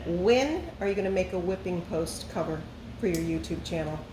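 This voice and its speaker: A woman's voice: lowish voice, expressive, a little fast, dark tone. A woman reading fan questions aloud to a friend in a plain, matter-of-fact way. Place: brewery. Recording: roomy echo with ambient noise.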